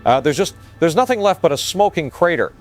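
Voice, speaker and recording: A man's voice: on the high side, big pitch swings, a little fast, faint music behind. A man playing a grave, shaken field reporter giving a hesitant eyewitness report in an audio drama. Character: shaken male field reporter at disaster scene.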